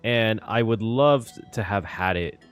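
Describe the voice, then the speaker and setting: Excitable low-voiced man reacting to a game. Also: a little slow, smooth, faint music behind. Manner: excitable, emotional, laughs and trails off. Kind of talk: casual reaction commentary.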